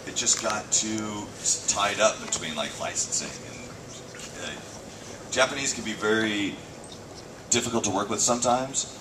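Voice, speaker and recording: A man's voice: fairly low pitch, unhurried. Laid-back man at a convention panel, distant. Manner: casual, joking, animated. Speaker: voice actor answering fan questions. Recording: distant fan-shot recording with noise.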